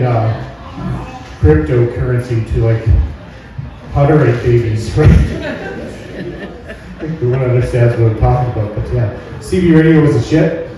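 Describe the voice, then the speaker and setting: Canadian guy doing rambly folksy standup, kinda soft mellow voice, super echoey room. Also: medium pitch. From Alberta, Canada. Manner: rambling, folksy, checks in with "right?". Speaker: stand-up comedian. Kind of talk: stand-up comedy routine. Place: live comedy venue indoors. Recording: very echoey room, far from mic.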